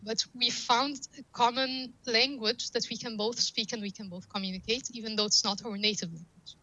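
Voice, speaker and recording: A woman whose first language is Arabic talks on a podcast as a guest, working through her points with examples and repeated phrases. Her voice is bright and sits a little high. The sound is dry and close to the mic. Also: fairly even intonation, moderate pace, no echo, poor audio. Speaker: guest data scientist. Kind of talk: podcast interview.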